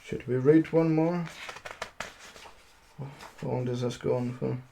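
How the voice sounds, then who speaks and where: A man streaming amateur storytelling, halting as he reads, with casual, self-deprecating asides. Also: mid-range voice, fairly even intonation, gravelly, mellow, slight background noise. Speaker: streamer reading stories aloud.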